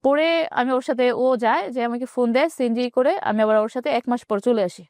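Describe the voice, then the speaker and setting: A woman's voice: on the high side, fairly quick, a little mellow, dry recording, studio quality. A woman in her twenties with a Bangladeshi accent, telling her life story plainly as an interview guest. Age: 20s.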